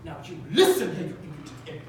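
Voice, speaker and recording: A man performing a pompous, hot-tempered male actor who shouts indignantly. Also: high voice, wildly swooping pitch, fast talker. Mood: furious. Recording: roomy live theatre, distant mic, noisy.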